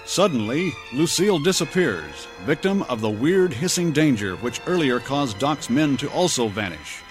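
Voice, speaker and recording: A man's voice: medium pitch, very animated, moderate pace. Theatrical male radio serial announcer over music. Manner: theatrical, declamatory. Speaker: announcer and narrator. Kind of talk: dramatic old-time radio serial narration. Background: dramatic music.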